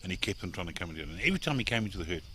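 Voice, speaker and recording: A man's voice: low voice, big pitch swings, very fast, a bit bright, a little hiss or hum. A South African man working as a safari tour guide, telling rambling campfire stories with chuckles and restarts. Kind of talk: campfire storytelling. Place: outdoors by a campfire at night. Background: crickets chirping.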